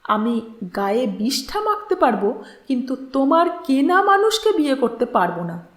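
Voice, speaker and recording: A woman's voice: sits high, big pitch swings, normal speed, roomy sound. A woman storyteller voicing a stubborn, earnest and wounded daughter in an emphatic, pleading manner. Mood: defiant.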